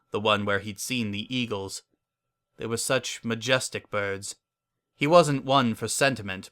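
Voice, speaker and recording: A man reads a dramatic story as narrator. His voice is on the low side yet bright and forward, and he keeps a measured, solemn delivery.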